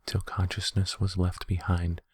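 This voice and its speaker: A man narrates horror fiction in a hushed ASMR reading. His voice is very low and husky, with a steady, flat delivery. He speaks slowly and deliberately. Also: no room sound, clean studio sound. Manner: slow, deliberate, hushed storytelling. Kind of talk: soft-spoken ASMR audiobook reading.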